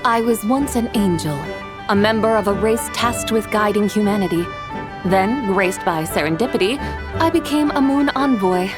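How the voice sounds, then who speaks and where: A woman voicing a dignified ancient angel in a video game, speaking in a measured, formal and composed way. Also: mid-range voice, lightly acted. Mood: composed, reflective. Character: composed ancient female angel, former moon envoy, dignified. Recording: music playing behind the voice.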